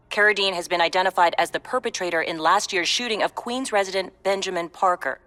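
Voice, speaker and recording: An actress playing a formal, measured television news reporter in a video game, delivering a scripted report. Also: female voice, mid-range voice, a bit bright, natural voice.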